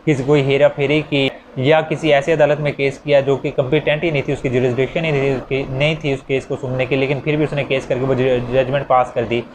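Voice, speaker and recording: A man's voice: mid-range voice, a little hiss or hum, low-quality recording. A man with a Pakistani accent giving an informal step-by-step law lecture, repeating key phrases and mixing in English legal terms. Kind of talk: explanatory lecture with worked examples.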